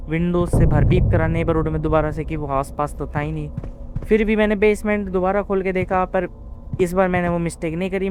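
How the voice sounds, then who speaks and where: A man's voice: fairly high pitch, slight background noise. A man casually telling the story of his gameplay, colloquially, with English words mixed in. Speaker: gaming video narrator.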